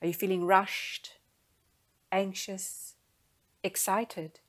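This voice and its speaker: A woman leading a guided meditation in a gentle, soothing, unhurried manner, speaking in soft phrases. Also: mid-range voice, flat delivery.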